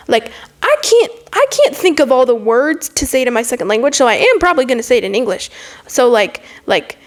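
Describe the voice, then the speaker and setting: Chatty young woman vlogging. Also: on the high side. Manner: chatty, filler-heavy, self-mocking with put-on quotes. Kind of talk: casual talking-head vlog.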